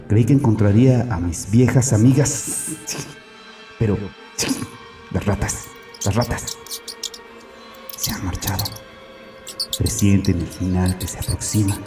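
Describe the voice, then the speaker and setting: An actor playing a tormented, fanatical murderer in hiding, in a solemn, brooding audio drama monologue. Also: male voice, lowish voice, warm tone, lightly acted. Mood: mournful. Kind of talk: dramatic first-person audio story monologue. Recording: echoey, distant, music behind.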